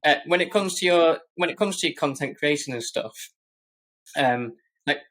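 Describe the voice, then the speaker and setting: A man's voice: a little high, lively intonation, moderate pace, a bit bright. A man hosting a casual podcast interview, putting questions in an informal way. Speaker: podcast host and running coach.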